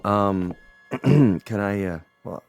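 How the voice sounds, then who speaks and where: An actor in a radio drama playing a loyal working-class newspaper typesetter with a folksy, plain-spoken manner. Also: male voice, fairly low pitch, very slow, creaky, dry recording, soft background music, full character voice. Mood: nervous, hesitant.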